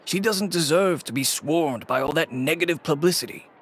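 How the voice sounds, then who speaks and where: A man playing a weary, gravelly shop owner. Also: a little high, slight background noise, natural voice. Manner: measured, reluctant, lightly sighing. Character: weary middle-aged male fashion shop owner, cautious father. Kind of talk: video game voice acting.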